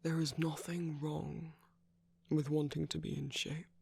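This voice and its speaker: A man playing an affectionate, concerned villain in an intimate ASMR roleplay, speaking softly and deliberately with a husky, breathy, creaky voice. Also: fairly high pitch, flat delivery, heavily acted. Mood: earnest, careful. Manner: soft, deliberate, tender coaxing with whispered phrase endings. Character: affectionate, concerned male villain, slim and sickly.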